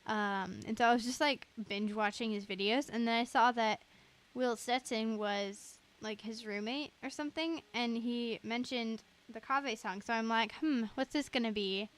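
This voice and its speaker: A young woman with a fairly high voice hosts a casual game livestream. She talks in a chatty, gushing manner. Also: normal speed, a bit bright.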